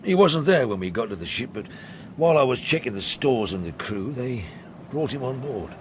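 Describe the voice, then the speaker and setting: An actor playing a seedy, eager-to-please sea captain in a radio drama, talking colloquially and evasively. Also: male voice, mid-range voice, expressive, normal speed, noisy background, lightly acted. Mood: confiding. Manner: colloquial, evasive, hesitant when pressed.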